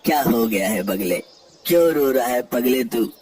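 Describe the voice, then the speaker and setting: Animated fable crab, male actor: cheeky, shrewd, singsong taunts, widely varying pitch, distant noisy sound. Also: measured pace, natural voice. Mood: teasing concern. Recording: distant voice with clear background noise.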